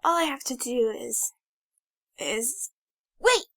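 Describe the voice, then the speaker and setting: A woman's voice: a little high, expressive, slow, creaky, pushed and bright, dry recording, studio quality, exaggerated voice. A woman playing Miles, a nerdy, fussy young boy, in a fan audio drama. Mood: absorbed, flustered.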